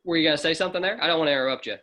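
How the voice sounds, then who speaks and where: A man's voice: fairly high pitch, very fast, sharp tone. A man hosting an online video call, friendly and joking as he brings speakers on. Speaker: host of an online presentation. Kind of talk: upbeat casual hosting.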